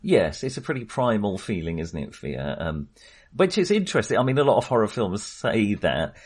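A man's voice: on the low side, very animated, moderate pace, breathy edge. A man in a casual film discussion as a podcast host, talking in a rambling way that often qualifies itself.

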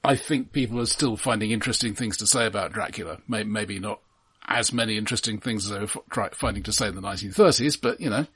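A man co-hosting a podcast, discussing films casually in a measured, analytical manner. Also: fairly low pitch, fairly bright tone, slightly rough. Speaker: podcast co-host.

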